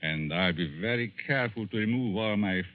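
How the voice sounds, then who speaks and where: An actor playing a sinister, gloating professor and murderous schemer in 1940s radio suspense style, theatrical, taunting and given to chuckling. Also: male voice, fairly low pitch, brisk, natural voice. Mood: sly, menacing. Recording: dull lo-fi 1940s radio broadcast.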